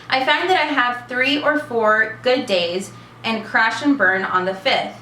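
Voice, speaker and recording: A woman's voice: a little high, bright, forceful tone. Bubbly, chatty woman in her twenties. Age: 20s. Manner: chatty and bubbly, many fillers. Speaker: vlogger. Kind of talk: casual Q&A vlog. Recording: echoey room with faint hiss.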